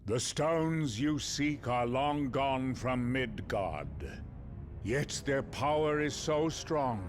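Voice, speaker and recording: A man's voice: medium pitch, slow, faint music behind, lightly acted. An actor playing a stern, majestic old Norse god-king in a game cutscene, speaking in a grandiose, archaic, commanding way. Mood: grave, solemn. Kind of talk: theatrical game cutscene acting.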